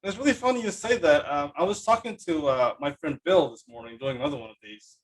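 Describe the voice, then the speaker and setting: Man, fairly high voice, podcast host, casual chat, enthusiastic, rambling. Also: animated, fast talker, gravelly, low-quality recording.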